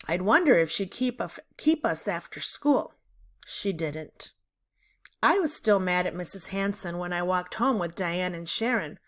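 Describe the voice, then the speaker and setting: Woman reading aloud, slow and lively. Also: on the low side. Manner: deliberate, animated storytelling. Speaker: read-aloud narrator. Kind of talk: expressive children's book read-aloud.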